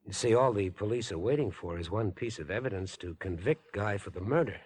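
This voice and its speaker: An actor playing a sly young villain in 1950s radio drama, politely insinuating, with hesitant feigned concern. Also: male voice, on the low side, animated, a little fast, darkish tone, slightly rough. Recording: vintage 1950s radio broadcast.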